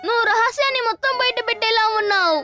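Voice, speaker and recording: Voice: very high voice, fast. An actor voicing a young robot boy superhero, eager and bossy, in a dubbed children's cartoon. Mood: urgent. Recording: loud music behind the voice.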